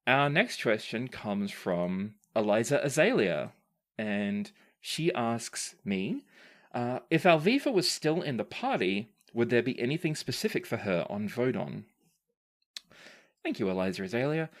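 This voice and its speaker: A man hosting a podcast as game master, reading and answering listener questions in measured, deliberate phrasing. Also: mid-range voice, very animated.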